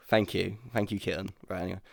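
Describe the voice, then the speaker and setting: Creaky-voiced man bantering casually. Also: sits low, flat delivery, a little fast, dry recording, studio quality. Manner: joking, informal, sweary. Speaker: podcast host.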